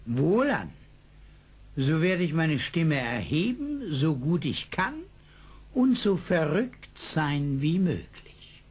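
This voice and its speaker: An actor playing a devout, fearless eccentric psalm singer, speaking in a solemn preacherly manner in a radio play. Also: male voice, fairly high pitch, sing-song, slow, husky, exaggerated voice. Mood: resolute. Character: devout, fearless psalm-singing frontier eccentric, serenely pious. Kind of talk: 1970s German radio play acting.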